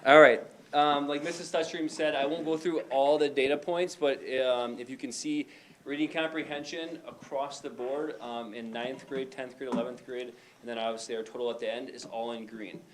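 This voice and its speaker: A man, a high school principal, reporting to a school board in a loose, conversational way with frequent fillers. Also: fairly even intonation, moderate pace, a bit bright, slight background noise. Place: meeting room.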